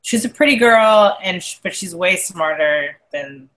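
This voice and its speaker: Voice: mid-range voice, lively intonation, a little slow, a bit bright, slight background noise, rough recording. A podcast co-host who sounds a little congested, chatting casually about a TV show, hesitant and often trailing off.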